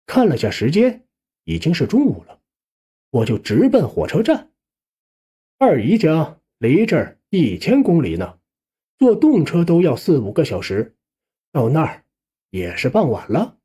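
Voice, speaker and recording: A man narrating a scripted first-person suspense audiobook in a conversational, expressive way. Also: a little high, measured pace, long pauses, husky.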